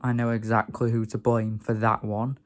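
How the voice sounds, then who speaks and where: A young man from England, hoarse from a sore throat, rambling and grumbling in a casual vlog rant. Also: lowish voice, level tone, moderate pace. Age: young adult. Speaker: vlogger.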